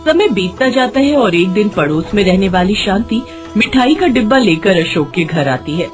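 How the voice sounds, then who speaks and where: Very expressive woman storyteller. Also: medium pitch, moderate pace. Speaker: story narrator. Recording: music behind the voice.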